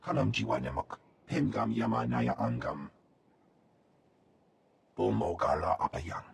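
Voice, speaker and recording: Gravelly, growling alien brute. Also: male voice, lowish voice, expressive, unhurried, a little hiss or hum, full character voice. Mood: menacing. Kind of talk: game creature acting in invented alien tongue.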